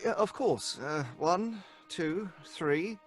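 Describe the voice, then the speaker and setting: An actor with a British accent playing a nervous, earnest young gentleman who speaks hesitantly in an old-fashioned radio drama. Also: sits high, lively intonation, takes it slowly, soft background music. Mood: puzzled. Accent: British English. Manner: hesitant, jittery.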